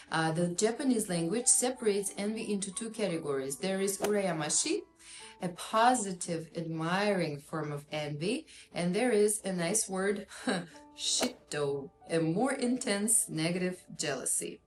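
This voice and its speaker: A woman with a Russian accent talks as a therapist, earnest and explanatory, often pausing on small fillers. Her voice sits at a middle pitch. The room around her is echoey, and soft music runs faintly beneath. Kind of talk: educational talk to camera.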